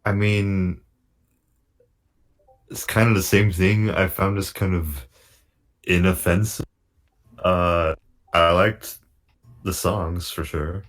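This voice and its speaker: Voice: low voice, fairly even intonation, slow, pauses often. A panelist giving frank but hesitant critique in a casual group chat.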